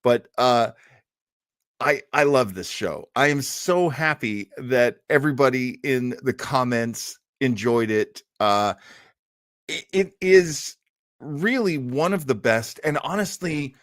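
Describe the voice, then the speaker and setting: Older male host, mid-pitched, for casual, enthusiastic live chat that rambles; close, dry recording. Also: slow pace, a bit bright, no echo. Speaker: host of an old time radio show.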